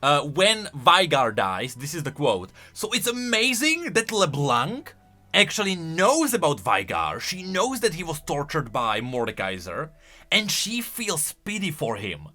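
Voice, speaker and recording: A man giving casual, emphatic commentary as a gaming YouTuber, excitable in his delivery. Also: a little high, unhurried. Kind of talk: casual lore commentary, reacting to voice lines.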